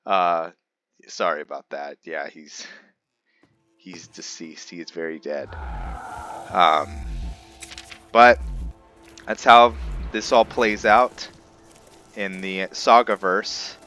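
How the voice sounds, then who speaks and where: A man hosting a roleplay playthrough with casual game commentary, halting as he thinks aloud. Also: low-pitched, steady tone. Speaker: gameplay host, roleplayer. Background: soft background game music.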